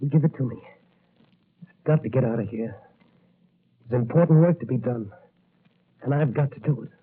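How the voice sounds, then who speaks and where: A man's voice: medium pitch, normal speed, lightly acted. An actor playing a determined, eager young policeman hero in 1940s-style radio drama. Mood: urgent. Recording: noisy, narrow-band vintage radio recording.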